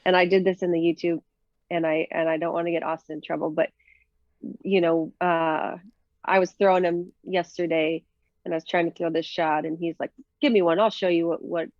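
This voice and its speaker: A woman's voice: lowish voice, level tone, fairly quick, a little mellow, no echo, lo-fi sound. A woman from Minnesota with a Minnesota accent, chatting casually in an interview with many fillers and easy laughs. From Minnesota, USA. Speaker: professional disc golfer, guest.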